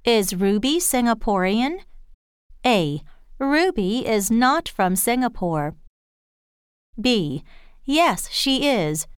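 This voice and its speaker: A woman reading scripted dialogue and answer options for an English listening test, slow and clearly enunciated. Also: a little high, very animated, no echo, clean studio sound.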